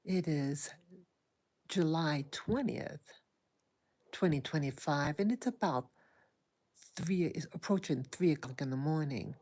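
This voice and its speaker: A soft-spoken woman hosting an early morning livestream, talking hesitantly with many pauses and fillers and greeting her viewers. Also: low-pitched. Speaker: livestream host. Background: small dog occasionally heard.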